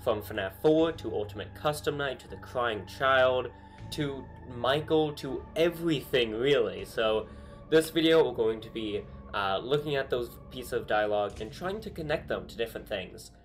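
A young man talking casually at an ordinary pitch, thinking out loud with lots of ums and uhs. He sounds relaxed and conversational, like he's recording from his bedroom desk. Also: normal speed, faint music behind. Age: young adult. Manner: chatty, thinking aloud with many fillers. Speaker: YouTuber analyzing game dialogue. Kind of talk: casual commentary. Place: small bedroom with string lights.

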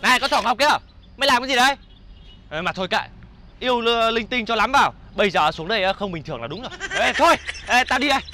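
Brief: very high-voiced, fast-talking, pompous celestial pig marshal played by a male comic, scolding and exclaiming, comedy skit. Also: lively intonation, pushed and bright, echoey room, slight background noise, exaggerated voice. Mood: cocky, rambling.

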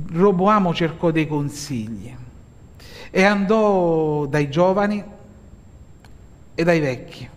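A middle-aged pastor from Italy preaches from the pulpit of a church hall in a slow, deliberate way, pausing often and filling gaps with soft hesitations. His voice sits fairly high for a man, and his words ring with a long reverb through the hall. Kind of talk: sermon.

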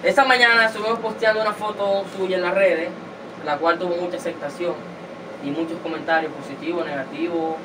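A man's voice: high-pitched, brisk. A man hosting an interview, pressing his guests with insistent questions. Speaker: host interviewer. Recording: distant mic with steady noise.

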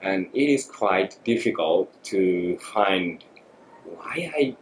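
Japanese man, forties, podcast guest, halting and reflective, echoey studio. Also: low-pitched, lively intonation. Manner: thoughtful, halting, many fillers. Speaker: guest photographer. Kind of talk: podcast interview. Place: small studio room. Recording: echoey room, faint noise.

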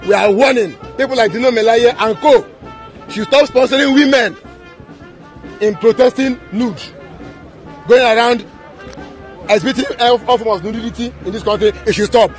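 A man's voice: extremely high pitch, moderate pace, pauses often, soft background music. A Nigerian man speaking as a group spokesman outdoors into press microphones, declaiming and repeating his demands. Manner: declamatory, repetitive. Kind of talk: public statement to press. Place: outdoors among a crowd. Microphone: press microphones held up.

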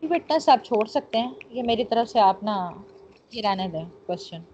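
A woman's voice: medium pitch, expressive, normal speed, a little mellow. A woman with a Pakistani accent lecturing as a law teacher, reading notes aloud and explaining, mixing Urdu with English terms. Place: online screen-share class. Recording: muffled lo-fi online call, background noise.

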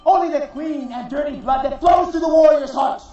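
A man's voice: very high-pitched, a faint crowd. Guy acting as a proud defiant warrior man doing a stage monologue, solemn big speech, super echoey and far from the mic. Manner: solemn, declamatory, deliberate phrases rising to shouts. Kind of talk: theatrical dramatic monologue. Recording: distant, very echoey, muffled recording.

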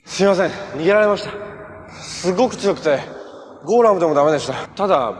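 A lively, cheerful young superhero. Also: male voice, high voice, fast, a little hiss or hum. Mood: earnest. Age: young adult. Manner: casual, friendly, upbeat. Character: cheerful, earnest young male transforming superhero. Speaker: actor in a TV superhero series. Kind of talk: live-action TV drama acting.

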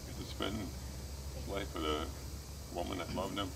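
A middle-aged man speaking at his father's memorial outdoors, plainspoken and halting, with many fillers, into a handheld mic. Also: low voice, fairly even intonation, vocal fry. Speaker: son giving a eulogy. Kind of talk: eulogy. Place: outdoors at a waterside memorial gathering. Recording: distant outdoor recording with background noise.

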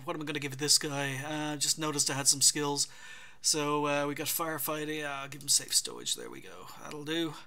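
A man's voice: on the high side, bright, forceful tone. An Irish man with a slightly sore throat, giving casual, rambling commentary on his game garage, full of ums and self-corrections. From Ireland. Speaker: gaming channel host. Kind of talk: casual garage review commentary over gameplay.

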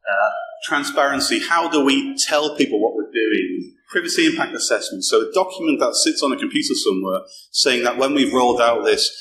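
A man's voice: mid-range voice, lively intonation, fairly quick, fairly bright tone. A middle-aged man giving a conversational technical talk at a conference, often restarting and repeating words as he goes. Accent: non-local British accent. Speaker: conference speaker. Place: small darkened event room, indoors. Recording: echoey room, faint background hum.

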